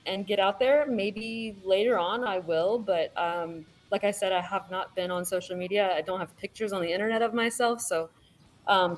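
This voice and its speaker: A woman's voice: medium pitch, poor audio. A woman in her thirties chatting casually on a video call from home, laughing easily, with many ums. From born in California, raised in Virginia. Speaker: panel guest. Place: home room indoors. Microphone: webcam on a video call.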